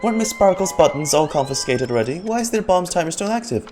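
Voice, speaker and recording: A woman's voice: fairly low pitch, sing-song, quick pace, darkish tone, soft background music. A woman streamer giving casual reaction commentary on a game and reading its text aloud.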